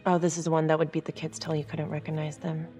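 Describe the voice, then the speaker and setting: Cool, probing woman prosecutor an actress's role in a game drama; voice a little low, music underneath. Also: flat delivery, quick pace, dark tone, lightly acted. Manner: cool, direct, inquisitive. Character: composed, probing female district attorney. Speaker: video game actor. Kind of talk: naturalistic crime drama acting. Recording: faint music under the dialogue. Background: background music.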